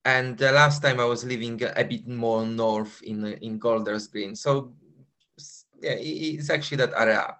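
A man in his forties speaks English with a Polish accent as a guest on a remote interview. His pitch is middle-range. He talks haltingly, searching for words, with frequent laughter. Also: pushed and bright. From Poland. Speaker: guest runner. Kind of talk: casual interview.

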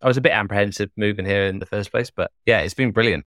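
A middle-aged man from Wales, chatting casually as a podcast guest, relaxed and quick to laugh. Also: on the low side, no echo. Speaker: podcast guest, web developer. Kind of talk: casual interview chat.

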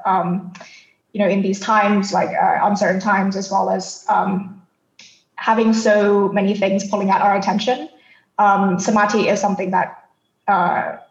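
A woman from Thailand speaking as a podcast guest, explaining her thoughts in a reflective, halting, conversational way. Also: medium pitch, roomy sound, dull audio. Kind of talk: conversational interview answers.

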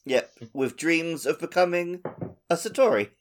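A man's voice: on the high side, expressive, a little slow. A man co-hosting a gaming podcast, talking in a casual, conversational way. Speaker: podcast co-host.

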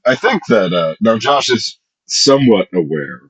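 A man's voice: lowish voice, big pitch swings, unhurried, slightly rough, dry recording, low-quality recording. A man talking hesitantly with many fillers in casual podcast chat.

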